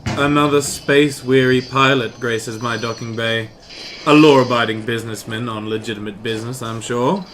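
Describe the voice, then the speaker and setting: A man's voice: mid-range voice, steady tone, normal speed, a bit bright, a little hiss or hum, close to an everyday voice. A man playing an officious, touchy young Imperial lieutenant in a sci-fi audio drama, clipped and pompous. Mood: sneering, sarcastic.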